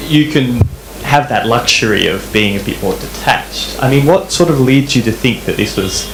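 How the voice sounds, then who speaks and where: A man from the audience, an academic, asking a long, winding question that grows animated. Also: mid-range voice, normal speed, roomy sound, noisy background. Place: club event room.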